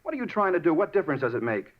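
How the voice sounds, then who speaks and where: An American actor playing an agitated, defensive murder suspect in a 1950s radio drama, insistent and irritable. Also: male voice, fairly high pitch, big pitch swings, rapid-fire. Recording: dull 1950s radio recording.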